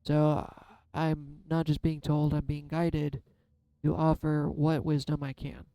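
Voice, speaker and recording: Man, tarot reader, soft-spoken, smooth dark tone, candid vlog delivery, faint music underneath. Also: on the high side, monotone, slow pace, dry recording. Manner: candid, self-deprecating, musing aloud. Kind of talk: casual reflective vlog.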